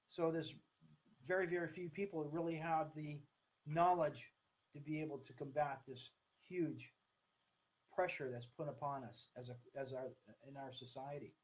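Higher-pitched older American man, earnest. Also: poor audio. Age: 60s. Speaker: speaker, coach. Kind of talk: online talk to camera, partly read from notes. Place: small room at home, indoors. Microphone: webcam or laptop at desk distance.

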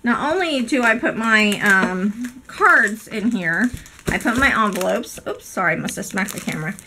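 A woman hosting a casual crafting vlog, hoarse from a cold, chatty and apologetic with many ums. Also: medium pitch, expressive, moderate pace, noisy background. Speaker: craft channel host. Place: indoor craft room. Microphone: overhead camera, speaker off screen.

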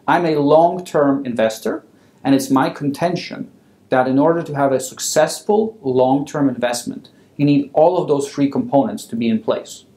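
Thirties man from Poland, fund founder, measured and businesslike, lapel mic in an echoey office. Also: mid-range voice, a little mellow. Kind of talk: interview answers. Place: office with wooden chairs, indoors. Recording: roomy office echo.

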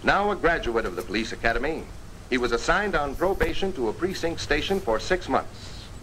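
A man reading scripted 1950s training film narration in a formal, earnest, measured storytelling manner. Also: fairly high pitch, very animated, fairly bright tone. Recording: noisy 1950s film soundtrack.

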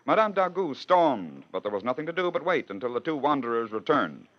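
A man's voice: mid-range voice, sing-song, brisk. A man hosting and narrating in scripted 1940s radio style, with a rhetorical storytelling manner. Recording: dull old radio broadcast.

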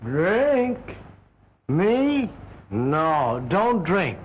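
A tipsy, jolly young man-about-town drawls along in drunken, genteel chatter. His voice sits high and moves slowly, as in an old 1930s film. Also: very animated, lo-fi sound, a little hiss or hum, heavily acted. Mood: tipsy gallant.

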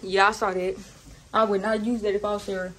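A woman's voice: medium pitch, level tone, fairly quick, a bit bright. A young adult woman vlogging casually in informal, slangy talk, filming on a phone at arm's length. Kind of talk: casual vlog chat and house tour. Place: walking through apartment, hallways and parking garage. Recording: noisy handheld phone recording.

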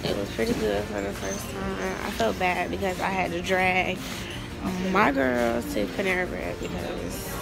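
A woman's voice: medium pitch, a little slow, creaky, over loud music. A young woman vlogging casually into a phone held at arm's length about a theater festival trip, chatty and slangy. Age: young adult. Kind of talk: casual vlog chat. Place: hotel room and festival venues.

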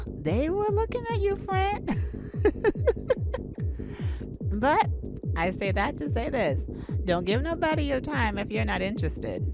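A woman playing an outgoing, playful, sassy young best friend in an audio roleplay, theatrical and teasing, with drawn-out words. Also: fairly high pitch, full character voice. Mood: confiding, sassy. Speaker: ASMR roleplay voice actor. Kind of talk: ASMR audio roleplay, addressed to a listener.

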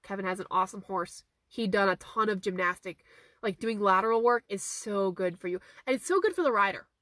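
Woman, 30s, horse trainer; hoarse, voice going; phone at arm's length in a car; dry close sound; earnest and repetitive. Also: mid-range voice, brisk, a bit bright, dry recording. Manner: earnest, repeats key points for emphasis. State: hoarse, losing her voice. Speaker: horse trainer hosting a live Q&A. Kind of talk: casual live-stream Q&A, reading viewer questions. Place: inside a parked car. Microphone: phone camera at arm's length.

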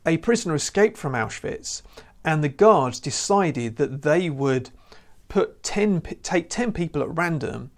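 A man preaching a recorded sermon to camera in an earnest, conversational way, often saying "you know.". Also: on the high side. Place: indoor room with lamp and church banner. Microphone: lapel mic.

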